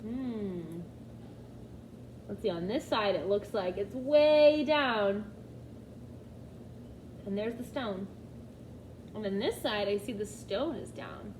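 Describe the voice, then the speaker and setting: A woman's voice: mid-range voice, animated, takes it slowly, long pauses, noisy background. A woman teacher reading a nonfiction book aloud to children, expressively, often addressing them directly with questions and asides.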